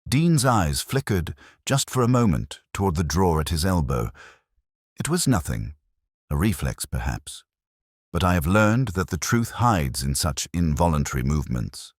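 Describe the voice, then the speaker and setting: A man reading a first-person mystery as the narrator, in a measured, deliberate and wry way. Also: deep voice, sing-song, no room sound, clean studio sound.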